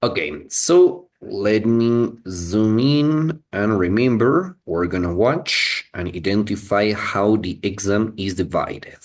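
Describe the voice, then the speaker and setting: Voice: low voice, slow pace, hardly any pauses. A teacher explaining things to students in an online exam-prep lesson, often checking that they follow. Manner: frequent checking questions like okay and right. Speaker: English teacher.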